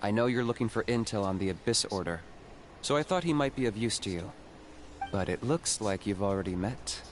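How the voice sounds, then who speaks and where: A man's voice: fairly low pitch, animated, brisk, slight background noise, lightly acted. An actor playing a cool, blunt young male hunter who speaks terse and matter-of-fact.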